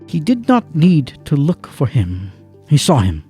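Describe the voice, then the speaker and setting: Narrator for literary audio drama: solemn, deliberate, warm soft tone over faint music. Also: male voice, fairly high pitch, huge pitch swings. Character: solemn male storyteller of a literary radio play.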